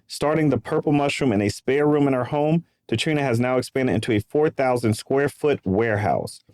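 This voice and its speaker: A man's voice: mid-range voice, fairly quick, a little mellow, dry recording. A man hosting a podcast interview, upbeat and chatty, with loose casual phrasing. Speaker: podcast host.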